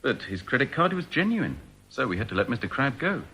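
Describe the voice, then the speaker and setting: An actor playing a defensive, apologetic store detective in a radio drama. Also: male voice, medium pitch, sing-song, a little fast, bright, forceful tone, faint music behind.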